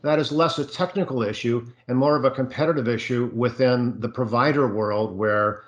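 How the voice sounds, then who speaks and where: A man's voice: mid-range voice, steady tone, normal speed, dull audio. A man speaking as a panelist on a video call, explaining his views at length with frequent fillers. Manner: expansive, thinking aloud with many fillers. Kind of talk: virtual panel discussion.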